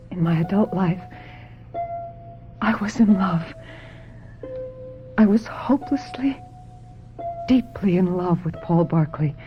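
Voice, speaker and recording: An actress playing a troubled, lovesick young woman, earnest and halting, confiding as she narrates a radio drama. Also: lowish voice. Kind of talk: radio drama acting, confessional first-person narration. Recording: dull lo-fi radio drama, music underneath.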